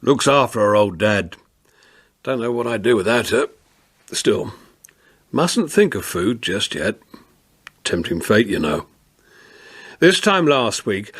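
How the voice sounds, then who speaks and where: An actor playing a homely older policeman in a radio drama monologue, confiding and rueful, with a husky voice and an English accent. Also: mid-range voice, big pitch swings, measured pace, pauses often, fairly bright tone, heavily acted. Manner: confiding, plain-spoken, rueful.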